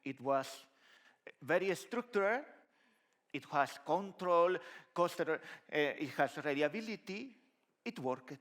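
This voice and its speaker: List: man, middle-aged, Spanish accent, conference talk, high-pitched for a man, conversational, headset mic. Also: slow pace. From Spain. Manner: lively, conversational, gesturing while walking the stage. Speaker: conference speaker. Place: large dark conference hall stage.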